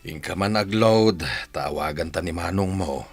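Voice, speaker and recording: A man playing a calm, kindly middle-aged uncle in an Ilocano radio drama. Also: low voice, normal speed, creaky, faint music behind.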